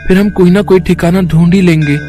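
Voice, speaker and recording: A gentle, devout village boy from a moral story, voiced by a man. His fairly high voice is polite and earnest, like a protective older brother's. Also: fairly even intonation, fairly quick, over music, lightly acted.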